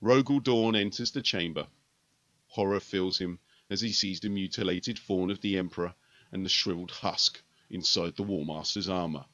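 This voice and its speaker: A man reading a dark battle story aloud as a dramatic audiobook narration, measured and swinging between loud and hushed. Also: no room sound. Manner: measured, with sharp swings in pitch and loudness.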